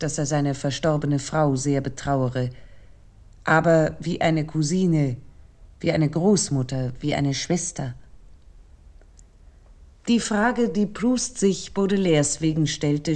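A thoughtful woman essayist with a slightly low voice. She delivers a scripted literary essay for radio, formal and articulate, setting out each thought in measured phrases. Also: darkish tone.

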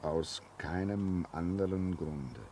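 Through the faint noise of a 1950s radio play comes a lonely male railway signalman. His voice sits fairly low, and he speaks in a brooding, halting, theatrical way, like a haunted man caught in his own obsessive fancies. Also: dark tone. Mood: brooding, suspicious. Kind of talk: 1950s German radio drama acting. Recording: noisy 1950s radio recording.

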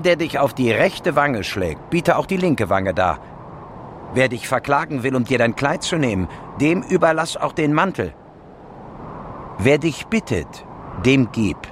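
Commanding scriptural preacher, radio play. Declamatory, very measured, highly varied intonation, slight background noise. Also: male voice. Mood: solemn, instructing. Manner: deliberate, emphatic, declamatory. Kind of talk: radio drama scripture recitation.

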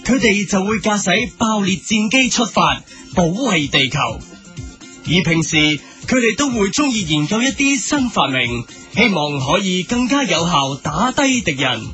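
Cartoon narrator done by a man: high, bright, animated, earnest and heroic, light music beneath. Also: a little slow, heavily acted. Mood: earnest storytelling. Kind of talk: dramatic scripted cartoon narration.

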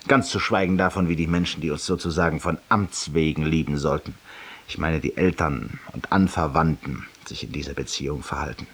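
An actor playing a self-satisfied middle-aged raconteur in a radio drama monologue, speaking with eloquent, ironic urbanity in a husky voice. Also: male voice, rumbling low, a little fast, fairly bright tone, heavily acted. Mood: sardonic. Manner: eloquent, urbane, ironic, addressing a silent listener.

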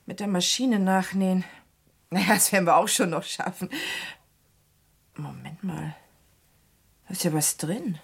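A woman's voice: lowish voice, slightly rough. A woman playing a busy, conscientious seamstress in a German radio crime drama, chatty, fussy and matter-of-fact. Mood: puzzled. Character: busy, conscientious seamstress packing dresses, female.

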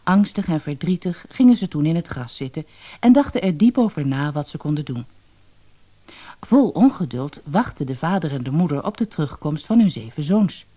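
A storyteller narrating a fairy-tale audiobook in an expressive way. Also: mid-range voice, normal speed. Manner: expressive storytelling, acting out dialogue.

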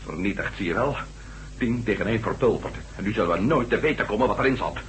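A man's voice: lowish voice, quick pace, close to an everyday voice. A man playing a blunt, hot-tempered, exclamatory astronaut turned reporter in a radio drama, on a very noisy old recording. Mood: bitter, irritated.

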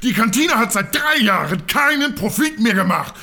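A man playing a gluttonous, tyrannical man-eating boss in a comic audio drama, with a gravelly, sharp voice and a pompous, blustering manner. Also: very high voice, very animated, moderate pace, full character voice. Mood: furious.

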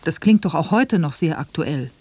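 A man reading scripted commentary for a radio documentary, measured and reflective. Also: on the high side, lively intonation, mellow, a little hiss or hum. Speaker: radio feature narrator.

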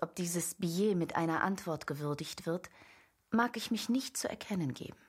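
A woman's voice: sits low, husky, exaggerated voice. An actress playing a mysterious, ardent female admirer, speaking formally and floridly in a radio drama. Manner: formal, florid, theatrical letter-reading. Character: mysterious ardent woman admirer writing to a novelist.

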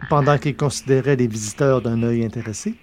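A male narrator reads a science-fiction serial. His voice is mid-pitched, and his delivery is measured and literary, like scripted storytelling. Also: darkish tone, slight background noise.

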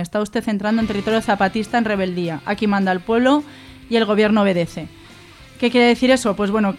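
A woman with an accent from Spain explains informally on the radio, didactically asking questions and answering them herself. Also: medium pitch. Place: live radio studio.

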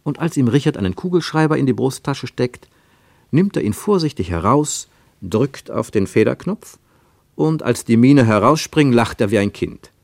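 A man reading scripted literary narration for a radio play in a measured, precise and expressive storytelling manner. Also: mid-range voice, creaky, no room sound.

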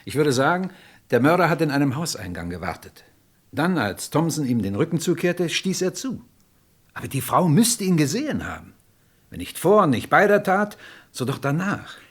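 An actor playing an insistent, methodical police inspector in a radio crime drama, questioning formally and probingly. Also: male voice, a little high, very animated, moderate pace, husky. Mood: brooding, reasoning.

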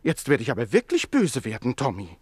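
A man's voice: mid-range voice, huge pitch swings, fairly quick, over loud music. An actor playing a meek Greek tailor in a radio play, who coaxes animals like babies and speaks deferentially to his betters. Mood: soft coaxing. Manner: coaxing baby talk to animals, deferential to his lord. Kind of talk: theatrical radio play acting.

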